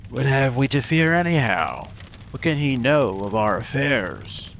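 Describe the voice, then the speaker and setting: A man plays a commanding, suspicious chairman of a criminal lodge in an audiobook drama. His delivery is blunt and questioning, at a medium pitch. A faint noise sits behind him. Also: sing-song, unhurried, fairly bright tone. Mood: defiant, blunt.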